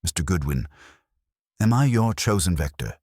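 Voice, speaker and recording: A man voicing a sharp, sardonic female journalist in a detective story, arch and pointed. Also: low-pitched, sing-song, normal speed, no room sound, clean studio sound, voice pushed up. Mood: arch, challenging.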